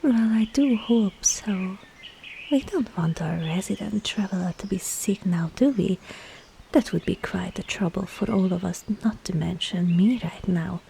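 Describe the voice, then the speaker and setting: A woman playing a sly, coaxing witch herbalist in an ASMR roleplay, speaking softly and often slipping into a whisper. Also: medium pitch, a little slow, creaky, slight background noise. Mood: soothing, sly.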